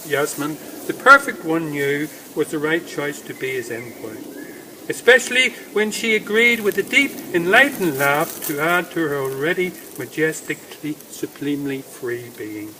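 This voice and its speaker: High-voiced, slow-paced male storyteller. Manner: deliberate, emphatic phrasing with pauses. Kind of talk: dramatic literary reading aloud. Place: outdoors in woodland. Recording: faint outdoor background noise. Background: faint woodland ambience.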